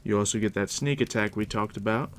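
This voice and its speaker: A man softly near-whispering in an ASMR roleplay as a game master, casual and unhurried with a dry, teasing manner. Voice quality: deep, hushed near-whisper. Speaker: ASMR roleplay host, playing a dungeon master guiding a new player. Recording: steady background noise, crackling fire ambience. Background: fire crackle.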